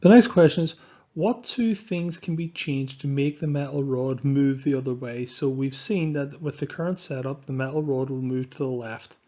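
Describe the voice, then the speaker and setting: A man's voice: mid-range voice, steady tone, moderate pace, warm tone. Dull-sounding recording of a male science teacher. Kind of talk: scripted lesson narration over slides.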